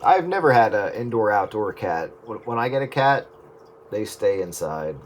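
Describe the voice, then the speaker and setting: A low-pitched man rambles casually over a two-way radio. Heavy noise runs all through the transmission, and his talk is loose and joking, like a hobbyist passing time on air. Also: expressive, normal speed. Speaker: amateur radio operator. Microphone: radio transceiver microphone.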